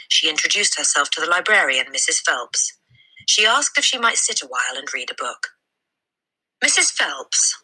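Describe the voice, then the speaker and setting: A woman reading a children's novel aloud as an expressive narrator, leaning on key words with deliberate emphasis. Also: low-pitched, breathy edge, low-quality recording. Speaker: storybook reader.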